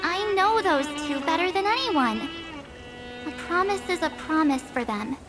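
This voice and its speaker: Bubbly stubborn teen girl game character, high voice, takes her time, cheeky and kinda boastful. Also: animated, a little slow, loud music behind the voice. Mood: boastful, perky. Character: perky, stubborn teenage girl, cheeky and self-assured. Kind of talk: video game character acting.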